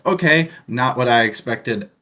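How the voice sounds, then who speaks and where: A man's voice: medium pitch, animated, a little slow, bright, forceful tone. A young man co-hosting a casual book discussion show, rambling through his thoughts with frequent laughs and the odd crude joke. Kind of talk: casual chat.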